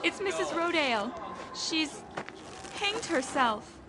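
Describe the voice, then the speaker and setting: A distraught colonist in a radio drama. Her voice is high and swooping, slow and shaken, against a loud crowd. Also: expressive, full character voice. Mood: shocked, distraught. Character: distraught female colonist bearing terrible news.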